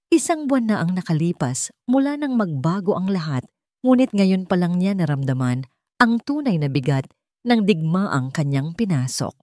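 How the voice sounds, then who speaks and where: A woman's voice: on the low side, very animated, normal speed, warm tone, dry recording, studio quality. A woman with a Filipino accent reading a scripted, dramatic audio story as its narrator.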